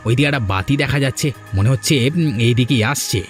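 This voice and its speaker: A man plays a scheming, vengeful fisherman in a storybook cartoon. He talks quickly and with menace, at a middle pitch. Faint music plays behind him. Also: natural voice. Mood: plotting. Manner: plotting, menacing. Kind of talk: cartoon voice acting.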